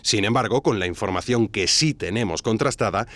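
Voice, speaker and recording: A man's voice: fairly low pitch, brisk, fairly bright tone, no room sound, lightly acted. A man playing an earnest documentary podcast host, narrating in a dramatic, rhetorical scripted style. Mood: solemn, intrigued.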